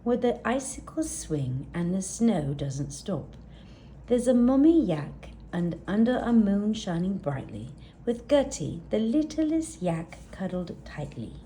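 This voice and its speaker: A woman narrates a children's story at an unhurried pace. Her voice is fairly high and mellow, with expressive rises and falls suited to storytime. There is faint noise behind her. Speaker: storytime reader. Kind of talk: expressive children's read-aloud, scripted narration.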